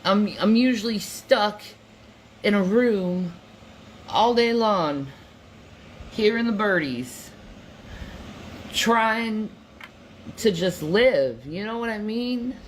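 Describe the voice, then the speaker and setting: A woman delivers an earnest, motivational monologue at an unhurried pace. Her pitch sits mid-range and she repeats phrases for emphasis. A faint room hum runs behind the voice. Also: animated, rough recording.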